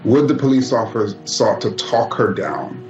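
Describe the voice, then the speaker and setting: A man's voice: fairly low pitch, lively intonation, normal speed, darkish tone. Male commentator, insistent questioning, echoey muffled clip with guitar music behind. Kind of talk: televised political commentary. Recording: dull, echoey broadcast clip.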